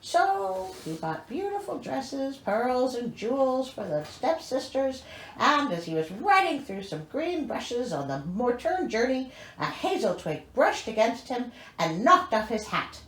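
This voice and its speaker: A woman's voice: sits high, sing-song, measured pace, a bit bright. A woman reading a storybook aloud as host, with theatrical delivery and sarcastic, incredulous comic asides. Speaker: host reading a fairy tale with commentary. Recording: echoey, roomy sound.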